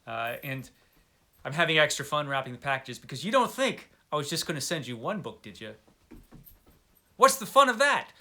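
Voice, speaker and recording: A man's voice: on the high side, sing-song, moderate pace, pushed and bright. A man hosting a casual book vlog, chatting to the viewer in a playful, tongue-in-cheek way with emphatic declarations. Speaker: book vlogger, host of the channel. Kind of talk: casual chat to camera, answering book tag questions.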